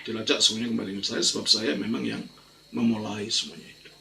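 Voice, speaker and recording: An older man with a gravelly, sharp-edged voice explains matters of faith like a priest answering questions. He speaks informally and emphatically, restating key phrases to drive them home. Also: on the low side, fairly even intonation, quick pace, a little hiss or hum. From Indonesia. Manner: emphatic, repeats phrases, explanatory with colloquial tags. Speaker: Orthodox priest and teacher.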